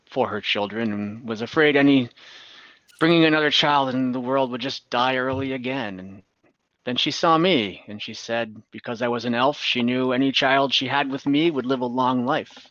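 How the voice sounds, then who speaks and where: Man playing a slow, hesitant old elf adventurer. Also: mid-range voice, fairly bright tone, no echo, close to an everyday voice. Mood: rueful, hesitant. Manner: hesitant, halting, earnest storytelling. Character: rueful older male elf, a retired adventurer confessing fatherhood. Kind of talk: in-character tabletop roleplay. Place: indoors on a group video call. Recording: dull, lo-fi online call audio.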